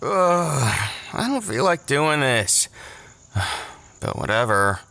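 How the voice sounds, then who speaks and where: Sardonic college sophomore: mid-pitched, lively intonation, casual and dry, audio drama. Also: male voice, slow, gravelly, dry recording, heavily acted. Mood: reluctant. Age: young adult. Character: Lee, laid-back, sardonic young male college student.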